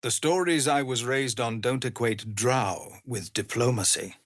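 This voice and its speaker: An actor playing a weary, dutiful leader of refugees in a video game, speaking in an earnest, measured, formal manner. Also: male voice, medium pitch, a bit bright, no echo, studio quality, close to an everyday voice. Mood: grave, earnest. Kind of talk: dramatic video game voice acting.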